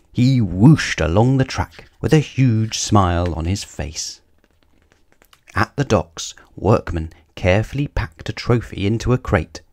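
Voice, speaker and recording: Man, storybook narrator, lowish pitch, very lively intonation, expressive read-aloud, emphatic action words.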